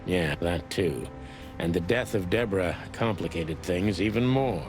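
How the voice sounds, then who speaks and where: A man's voice: low-pitched, animated, a little slow. A man playing a cynical, world-weary old rooster police detective in a noir game, dry and brooding. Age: older. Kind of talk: film noir game voice acting. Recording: music behind the voice.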